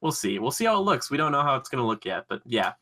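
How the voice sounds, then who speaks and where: A man hosting a pop-culture podcast in a casual, rambling, animated way with jokey sarcasm. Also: mid-range voice, fast. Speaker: podcast host.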